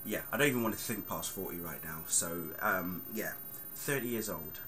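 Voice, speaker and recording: A man's voice: low voice, moderate pace. A man, chatting casually and rambling on a vlog with plenty of fillers. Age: 30s. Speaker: vlogger. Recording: clear background noise.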